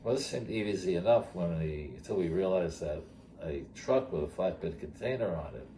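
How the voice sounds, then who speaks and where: Older male storyteller, bass-deep and plainspoken, speaking conversationally over noticeable noise. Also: animated, moderate pace, mellow. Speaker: retired teacher telling his story. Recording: clearly noisy background.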